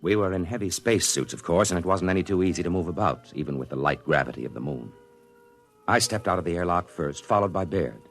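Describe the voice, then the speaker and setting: Brief: low, bright-toned astronaut narrator, earnest and halting, 1950s radio play. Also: male voice, lively intonation, faint music behind. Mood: wistful. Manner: earnest, reflective, halting with small stammers. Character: thoughtful male astronaut narrating his moon landing. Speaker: narrator and crewman. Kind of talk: 1950s radio drama acting.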